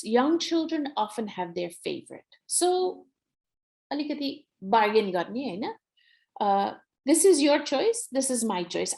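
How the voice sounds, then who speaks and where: Female workshop presenter, Nepali accent, slightly high voice, very varied intonation, encouraging and instructive. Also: vocal fry, a little mellow. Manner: encouraging, instructive, mixes Nepali phrases into English. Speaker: workshop presenter on early reading. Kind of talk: explanatory presentation to parents.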